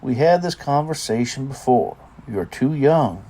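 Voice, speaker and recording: A man's voice: mid-range voice, lively intonation, measured pace, warm tone. An actor playing a weary middle-aged father in an audio drama, terse but protective and reassuring. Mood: guarded.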